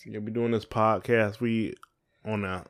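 A man's voice: on the low side, steady tone, measured pace. Southern American man, casual and teasing. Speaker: podcast co-host. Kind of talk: casual chat.

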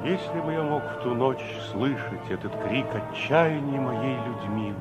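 An actor playing an ageing revolutionary veteran, wistful and kindly, speaking reflectively and emphatically in an old radio play. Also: male voice, medium pitch, lively intonation, unhurried, loud music behind the voice, natural voice. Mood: bitter, reminiscing. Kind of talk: 1960s Soviet radio play monologue and dialogue.

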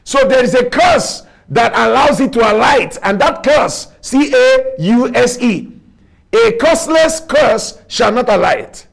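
A man preaching a sermon with a Nigerian accent, emphatic and repetitive, spelling out words and rising to shouts. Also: very high voice, lively intonation, slow, creaky. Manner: rises to shouts. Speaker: pastor.

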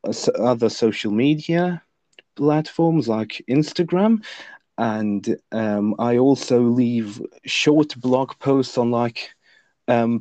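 A man's voice: mid-range voice, mellow, no echo. A young man whose first language is Lithuanian, a guest author on a podcast, who answers hesitantly with many fillers and restarts. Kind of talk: podcast interview.

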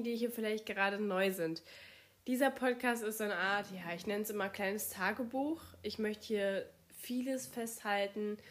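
A young German woman hosting her podcast while she has a cold, her voice strained, chatting casually with many fillers. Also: mid-range voice. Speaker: podcast host. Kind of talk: casual solo podcast chat.

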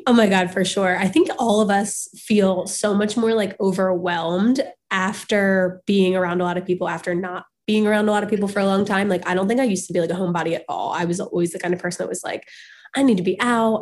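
A young woman in her twenties co-hosting a podcast, a little raspy and sniffly from a cold, chatting and laughing through run-on stories. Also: medium pitch, fairly even intonation, fast, a little mellow. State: slightly hoarse and sniffly from a cold. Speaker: podcast co-host. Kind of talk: casual chat.

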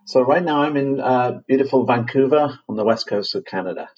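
A man with a Manchester accent, a guest on a podcast interview, talking in a measured way with frequent fillers and restarts. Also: mid-range voice, a little mellow, highs cut off. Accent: Manchester English. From England. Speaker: guest, company CEO.

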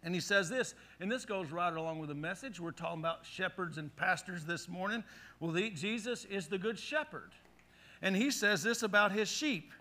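A middle-aged male pastor preaching a sermon in a church, leading the congregation in call-and-response with animated, forceful delivery. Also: sits high, normal speed. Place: church sanctuary. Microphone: handheld or headset mic.

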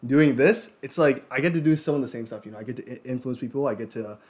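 A man's voice: medium pitch, fast, a little mellow. A man in his twenties, a podcast guest in casual chat, talking in a laid-back slangy way and often tacking on "yo".

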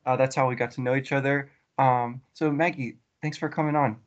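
A man's voice: mid-range voice, steady tone, brisk, dull audio. A man hosting an interview, asking questions hesitantly with many ums and uhs. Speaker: podcast host.

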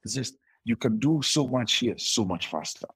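A man's voice: medium pitch, expressive, normal speed, fairly bright tone, breathy edge, no echo. A young man talking as an interview guest, telling his story in a rambling way with frequent restarts. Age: young adult. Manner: rambling with many restarts and laughs.

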